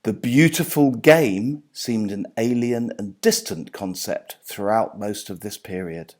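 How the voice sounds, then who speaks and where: A middle-aged or older man reading aloud from a book as its author, measured and phrase by phrase. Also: lowish voice, darkish tone. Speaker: author and sports journalist reading own book.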